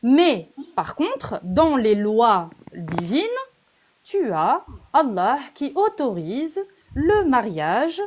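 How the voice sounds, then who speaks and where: Halting woman, slightly high voice. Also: very animated, slight background noise. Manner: halting, many fillers, quoting religious texts. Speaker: debate guest. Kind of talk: heated live debate.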